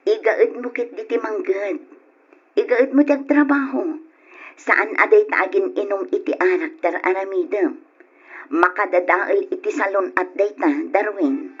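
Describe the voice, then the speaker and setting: An emotional woman of radio melodrama, husky and reproachful. She pleads and admonishes as one protective of her family. The sound is dull and lo-fi. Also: medium pitch, measured pace. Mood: stern scolding. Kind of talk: old Ilocano radio drama acting. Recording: dull lo-fi radio drama recording.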